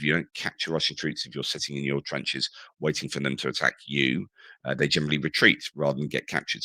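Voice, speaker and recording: Hoarse, very deep-voiced male commentator. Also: sharp tone, no echo. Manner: rambling, with frequent self-corrections. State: hoarse from shouting at concerts. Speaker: war news commentator. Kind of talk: casual spoken analysis.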